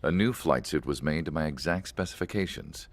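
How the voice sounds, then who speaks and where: An actor playing a weary military research scientist, narrating a game cutscene in a measured, grave, reflective manner. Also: male voice, very deep voice, no room sound. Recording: voice under loud music.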